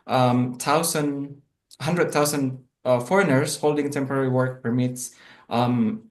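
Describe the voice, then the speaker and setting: A man from Indonesia, a native Indonesian speaker, talks an online class through slides in a medium-pitched voice. He hesitates often, filling gaps with fillers and restarting his phrases. The sound bounces around an echoey room. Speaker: guest presenter in an online class.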